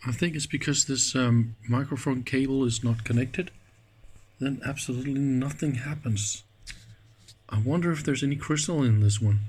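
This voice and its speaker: A man's voice: medium pitch, moderate pace, darkish tone, slightly rough, low-quality recording. A man giving casual hands-on commentary at an indoor workbench, thinking aloud informally with small exclamations. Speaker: host of electronics teardown videos.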